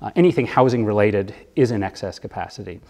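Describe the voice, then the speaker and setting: Low-voiced man in a reverberant studio. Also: vocal fry, a little mellow. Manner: measured, explanatory, with occasional fillers. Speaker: bond fund manager, guest. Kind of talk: studio interview, explaining economics.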